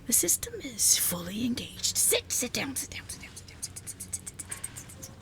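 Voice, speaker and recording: Casting: woman to play reclusive genius scientist, female, imperious yet easily flustered; breathy whispers, wildly varied pitch, halting delivery for ASMR roleplay. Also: huge pitch swings, music in the background, heavily acted. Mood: flustered, irritated. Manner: halting, repetitive, sighing, imperious.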